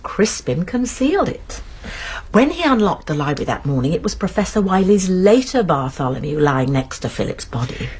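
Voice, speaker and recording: A woman's voice: on the low side, big pitch swings, normal speed, darkish tone, a little hiss or hum. An actress playing a shrewd British woman amateur sleuth in a radio drama, explaining things precisely and earnestly with small stammers.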